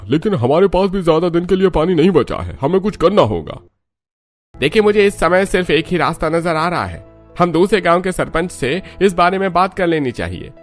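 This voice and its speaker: A man's voice: a little high, voice pushed up, lightly acted. A man voicing a worried village man in a cartoon story, arguing earnestly and insistently. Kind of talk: Hindi cartoon story dialogue.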